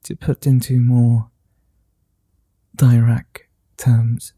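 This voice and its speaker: A man voicing a flirty, tender male vampire in an ASMR roleplay, speaking slowly, softly and formally, often in whispers, close to the mic. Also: mid-range voice, little pitch movement, slightly rough, no room sound. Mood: tender whispering. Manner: slow, soft and often whispered; old-fashioned formal speech.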